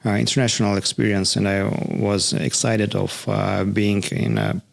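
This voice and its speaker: A man from Russia with a Russian accent, a guest answering interview questions in English with many hesitant "uh" pauses. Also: lowish voice, very animated, creaky. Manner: halting, many drawn-out "uh" fillers. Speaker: guest, finance professional. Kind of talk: interview answers in a second language. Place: studio.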